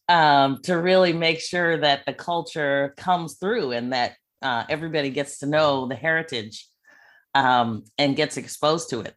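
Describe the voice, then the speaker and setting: A woman's voice: low voice, fairly bright tone. Encouraging middle-aged woman podcast host. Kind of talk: casual interview conversation.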